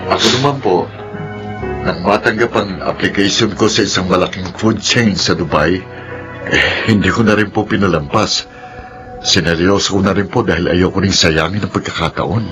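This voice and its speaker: A man playing a calm, deep-voiced young son. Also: moderate pace, natural voice. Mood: earnest. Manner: calm, advising. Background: faint music.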